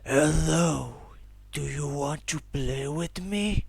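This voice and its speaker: A young man voicing a creepy male hedgehog demon who slowly taunts the listener, run through stacked pitched-down copies. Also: vocal fry, darkish tone, slightly rough, full character voice. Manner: slow creepy taunting invitation. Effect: layered pitched-down copies with phaser and reverb.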